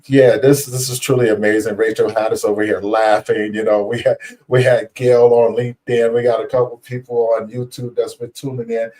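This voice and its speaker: Male host, effusive and chatty, dark warm timbre, distant recording. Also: medium pitch, little pitch movement, a little fast. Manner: upbeat and effusive, repeats "guys" and "you know". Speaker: podcast host. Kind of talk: casual interview chat.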